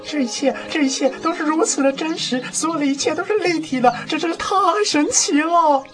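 A woman playing a naive, excitable young male rookie in a radio drama, often stammering and repeating words. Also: high voice, brisk, lightly acted. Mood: amazed. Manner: stammering, breathless repetition of words. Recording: music behind the voice.

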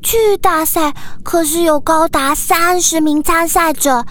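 A woman's voice: very high voice, a little slow, fairly bright tone. A woman voicing an earnest, eager young dolphin schoolboy in a children's audio drama. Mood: earnest, modest.

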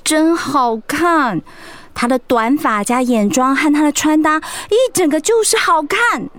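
High-voiced, outspoken Taiwanese wife. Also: female voice, expressive, a little slow, fairly bright tone, no echo. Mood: chatty, admiring. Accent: Taiwanese Mandarin. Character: doting middle-aged wife, outspoken, recovering from illness. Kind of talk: radio drama acting.

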